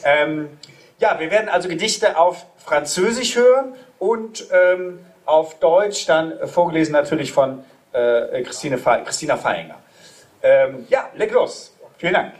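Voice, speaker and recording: A man hosts a live reading and introduces the next readers. His voice sits fairly high. He speaks hesitantly and fills gaps with pauses and fillers. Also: expressive. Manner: hesitant, many fillers and restarts. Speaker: event moderator.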